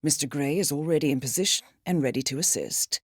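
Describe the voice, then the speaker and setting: An actress playing a cool, composed intelligence handler in a video game, briefing an assassin in a measured, dryly ironic way. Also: female voice, low voice, no room sound, clean studio sound. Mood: crisp briefing. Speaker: mission handler.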